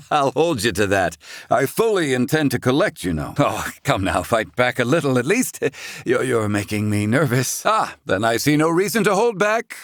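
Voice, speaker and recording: An actor playing a proud, gruff, excitable older scholar-inventor in a video game, speaking in formal, archaic, theatrical phrasing. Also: male voice, medium pitch, normal speed, dry recording. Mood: wry, eager. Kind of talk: video game character acting.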